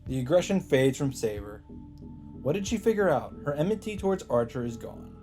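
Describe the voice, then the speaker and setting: Mellow man reading a story aloud. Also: mid-range voice, very animated, a little fast. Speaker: let's play host reading the narration. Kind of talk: story narration read aloud. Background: faint game music.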